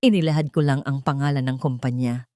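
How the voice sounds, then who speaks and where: A woman's voice: very deep voice, fairly even intonation, a little fast, mellow, dry recording, clean studio sound. A woman reading a scripted first-person dramatic story as its narrator.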